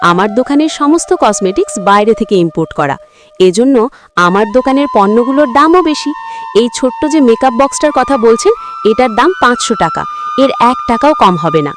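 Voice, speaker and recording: A woman's voice: a little high, expressive, brisk, music in the background, close to an everyday voice. A woman voicing a haughty, mean shopkeeper mother in a children's cartoon, snobbish and scornful.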